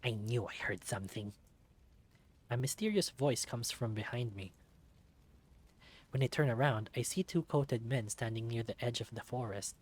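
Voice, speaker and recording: Guy reading a visual novel out loud, dramatic first person storytelling, medium pitch. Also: normal speed, long pauses.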